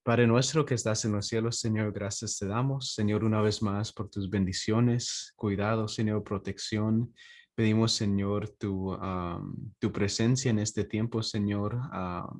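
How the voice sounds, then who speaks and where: A man from Texas speaking as a pastor in an informal devotional, in a halting way with frequent drawn-out hesitations. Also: lowish voice, fairly even intonation. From Texas, United States. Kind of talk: informal morning devotional with prayer and personal stories.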